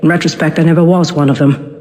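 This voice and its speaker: A brooding outsider, played by a man, speaks a solemn, wounded game monologue in a fairly high voice. Long reverb trails his halting phrases. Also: fairly even intonation, a little mellow, close to an everyday voice. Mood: bitter, brooding. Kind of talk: reflective dramatic monologue in a video game. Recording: long cavernous reverb, faint ambient noise.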